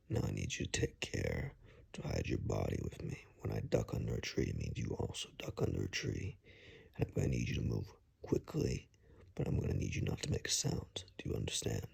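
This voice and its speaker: A man acts out a cautious male forest guide in an ASMR roleplay. His voice is extremely low and very breathy, full of heavy fry. He speaks in hushed, halting phrases, gently reassuring a lost stranger. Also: heavily acted. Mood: hushed, urgent.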